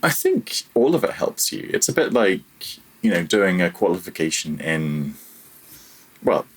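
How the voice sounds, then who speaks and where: Low-voiced man, husky, chatting casually. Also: sing-song, measured pace, rough recording. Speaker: podcast guest, audio programmer. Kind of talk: casual interview, telling own career story.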